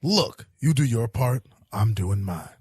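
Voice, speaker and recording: A man playing a cynical, self-serving hard-boiled police detective in an audio drama, defensive and pragmatic, with a rough voice. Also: mid-range voice, expressive, a little slow, a little mellow, dry recording. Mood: defensive, frustrated.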